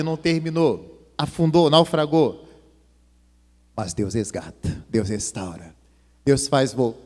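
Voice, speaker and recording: A male pastor preaching an impassioned sermon, his voice swelling and dropping. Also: a little high. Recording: long hall reverb.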